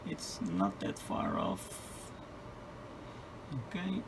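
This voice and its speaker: A man giving a hands-on repair demonstration, muttering haltingly and tentatively through each step as he thinks aloud. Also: lowish voice, sing-song, creaky, background noise. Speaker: host of a radio repair video. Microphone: handheld camera.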